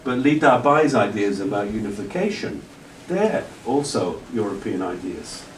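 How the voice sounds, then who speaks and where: A man's voice: lowish voice, very animated, normal speed, far from the mic, slight background noise. A male lecturer answering an audience question at length, rambling with frequent restarts. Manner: discursive, many restarts and "you know". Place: lecture hall. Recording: roomy hall audio from afar.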